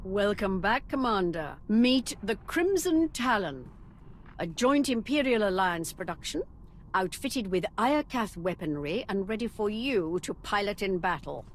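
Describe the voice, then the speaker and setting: Actress as bloodthirsty female officer, self-assured, lively intonation, martial, video game dialogue, faint noise. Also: mid-range voice, measured pace, close to an everyday voice. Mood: proud, welcoming. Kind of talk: dramatic video game acting.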